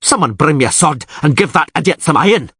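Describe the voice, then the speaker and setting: A man playing a hot-tempered island warrior who yells brashly. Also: a little high, lively intonation, fast, husky, no echo, clean studio sound, pitched above the performer's own voice, full character voice. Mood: furious. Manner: yelling, brash.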